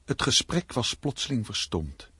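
A man's voice: mid-range voice, big pitch swings, a little slow, bright, forceful tone, breathy edge, no room sound. A man narrating a Dutch radio play in dramatic, scripted prose.

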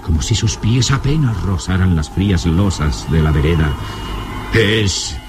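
A man narrating a gothic adventure radio serial in a solemn, suspenseful manner with deliberate phrasing. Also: low-pitched, animated. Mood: solemn, ominous. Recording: old radio serial with dramatic music. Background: dramatic music.

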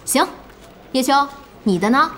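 High, animated, brash young woman boss. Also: unhurried, slight background noise. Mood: teasing, blunt. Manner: animated exclaiming, teasing, blunt. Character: brash young woman boss, excitable, sharp-tongued, protective. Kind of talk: audio drama acting.

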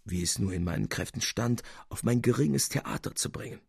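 A male reader plays an elderly theatre owner from an old tale, humble and scheming. His voice is husky and creaky, laying on ingratiating pleas in a dry, close recording. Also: mid-range voice, moderate pace, a little mellow, dry recording. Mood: wounded flattery.

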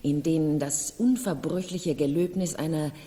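An actress in a 1970s German radio play, playing a proud, high-strung middle-aged noblewoman with a haughty, confessional manner. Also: moderate pace, mellow, lightly acted. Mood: confessional, wistful. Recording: reverberant room, vintage radio drama.

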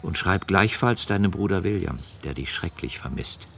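An actor playing a gently reproachful older father with a gravelly voice, reading out his letter in a German radio drama. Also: deep voice, lively intonation, moderate pace, a little hiss or hum, exaggerated voice.

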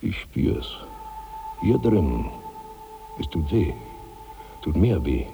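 Radio play, male aging monstrous glutton; self-pitying and theatrical, gravelly, long reverb. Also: a bass voice, very animated, extremely slow, mellow, faint music behind, heavily acted. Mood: pained. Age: older. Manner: theatrical, grandiose, swinging from brooding to giddy. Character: gigantic, self-pitying rich glutton, insatiably hungry, 65. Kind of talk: 1960s German radio drama acting. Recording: reverberant 1960s radio studio recording.

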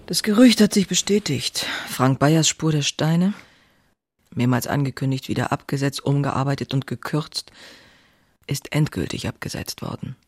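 A woman's voice: a bass voice, normal speed, a little mellow. An actress playing an outspoken, passionate woman writer who confides in her diary in an intimate, wry way. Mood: intimate, restless. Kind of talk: dramatized diary reading, scripted narration.

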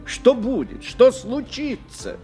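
Animated, blunt earthy man. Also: very high voice, slow pace, faint music behind, heavily acted. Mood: bluff, musing. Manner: colloquial, gruffly familiar, interjecting. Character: blunt, earthy man, skeptical drinking companion. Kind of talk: 1990 radio play acting.

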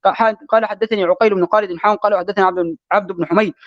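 High male voice, very rapid scripture recitation, student reader, dry but dull low-fi call audio. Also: fairly even intonation, no room sound. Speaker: student reading hadith aloud. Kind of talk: rapid recitation of Arabic hadith text.

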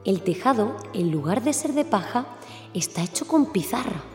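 A woman narrates a children's story in a mellow, dark tone. The sound is roomy with long reverb, over faint music. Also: medium pitch, big pitch swings, normal speed. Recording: echoey, reverberant room.

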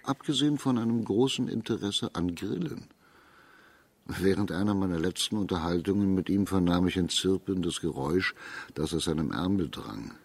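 An actor playing a shrewd, authoritative imperial judge in a radio drama, speaking in a measured, formal, deliberate manner. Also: male voice, low voice, gravelly, darkish tone, breathy edge, heavily acted.

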